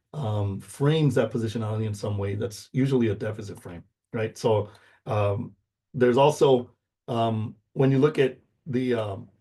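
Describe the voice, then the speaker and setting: A man's voice: medium pitch, mellow. A middle-aged man from the United States whose first language is Spanish, giving an informal lecture in an explanatory way, checking in with short tag questions. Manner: explanatory, checks in with "okay?" and "right?".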